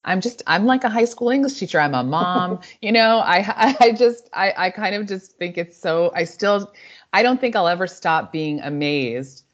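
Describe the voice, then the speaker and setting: A woman in her forties, a native Spanish speaker, telling a guest's story reflectively and warmly, often restarting her sentences. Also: on the low side, expressive, moderate pace. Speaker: author and guest. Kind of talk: interview answers. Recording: slightly dull lo-fi audio.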